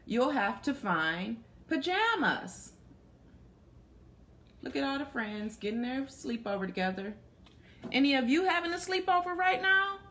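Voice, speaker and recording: A woman's voice: high voice, big pitch swings, measured pace, sharp tone, slight background noise. A young adult woman reading a picture book aloud to children, stopping to put questions to her young listeners. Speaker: children's story reader. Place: small plain room indoors.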